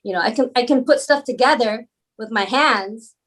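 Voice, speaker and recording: High-voiced woman chatting casually. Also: normal speed, fairly bright tone. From Palau. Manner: rambling and laughing easily. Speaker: guest. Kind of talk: casual interview chat.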